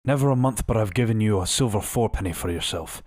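A man voicing a sick, rum-craving old sea captain who talks in rough sailor's dialect, weak-voiced but hearty. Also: on the low side, quick pace, a little mellow, dry recording, studio quality, natural voice. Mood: pleading.

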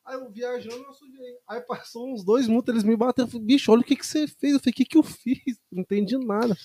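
Man in his twenties from Brazil, lively storyteller, high and mellow voice, dry sound. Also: fast talker, slightly rough, no echo. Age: 20s. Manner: animated storytelling, acts out dialogue. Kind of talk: casual chat.

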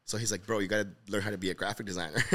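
A man from Honduras with a lowish voice talks very quickly as a podcast host. He is casual and encouraging, often repeating a phrase to drive it home. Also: bright, forceful tone. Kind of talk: casual conversational interview.

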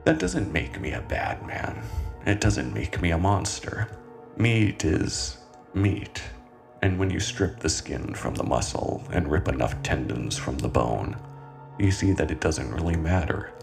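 A man playing a calm, self-justifying cannibal killer in a brooding, deliberate horror monologue. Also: a bass voice, creaky, soft background music, exaggerated voice. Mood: coldly self-justifying. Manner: brooding, deliberate, measured with dramatic pauses. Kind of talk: scripted horror monologue, dramatic narration.

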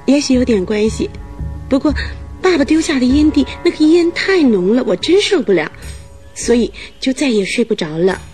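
An actress playing a troubled young bride-to-be, uneasy and insistent, in a radio drama. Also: female voice, high-pitched, sing-song, normal speed, a little mellow. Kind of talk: Mandarin radio drama acting. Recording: radio drama with music behind.

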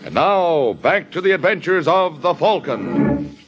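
A man's voice: fairly high pitch, very animated, unhurried, faint music behind. A man working as a radio announcer, reading commercial copy and story narration in vintage style. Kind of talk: old-time radio commercial and scripted narration. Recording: dull band-limited old radio recording.

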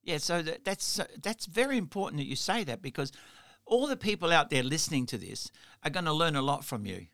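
Middle-aged Australian-accented host, slightly high voice, talkative and anecdotal. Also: male voice, very animated, brisk, a bit bright. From Italy. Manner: chatty storyteller, laughs often, lots of "you know". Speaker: podcast host. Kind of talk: casual interview chat.

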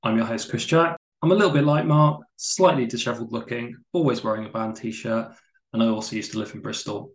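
A man's voice: medium pitch, a little fast. A man hosting a casual podcast interview, chatty and self-deprecating. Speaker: podcast host. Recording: roomy echo.

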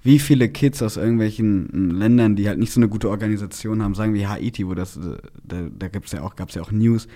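A young male podcast host with a voice a little on the low side. He talks casually and haltingly, rambling along and leaning on youth slang. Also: dark tone.

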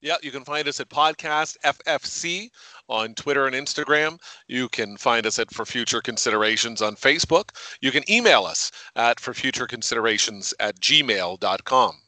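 A man co-hosting a casual podcast, an animated storyteller who laughs often. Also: mid-range voice, moderate pace, dry recording, rough recording. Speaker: podcast co-host.

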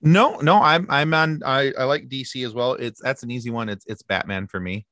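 A man in his thirties chatting casually as a podcast guest, sarcastic and animated, often restarting his sentences. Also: medium pitch, normal speed, dry recording, clean studio sound. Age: 30s. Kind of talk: casual chat.

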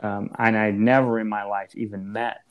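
A man's voice: on the low side, flat delivery, moderate pace, clear, resonant tone, lo-fi sound. Thoughtful man explaining like a therapist. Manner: thoughtful, explanatory, many hedging fillers. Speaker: therapist guest. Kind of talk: reflective conversation.

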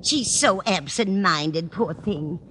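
A woman's voice: fairly low pitch, expressive, takes it slowly, a bit bright. An actress playing a kindly, absent-minded older landlady, fussing and muddled, in 1940s radio comedy style. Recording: noisy vintage radio broadcast recording.

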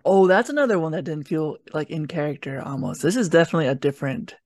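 Higher-pitched young man with creaky voice. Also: fast, darkish tone, no room sound, clean studio sound. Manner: giggly, laughs through his talk. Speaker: podcast co-host. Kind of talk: casual review chat.

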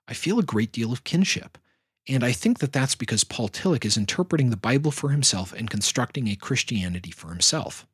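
Husky low-voiced man hosting a podcast. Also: no echo, studio quality. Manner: measured, thoughtful delivery with fillers. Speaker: podcast host. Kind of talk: reflective solo podcast talk.

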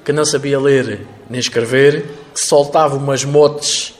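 A young man, answering an interview haltingly as a choir leader. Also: medium pitch, fairly even intonation. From Ferreira do Alentejo, Portugal. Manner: halting, with fillers and restarts.

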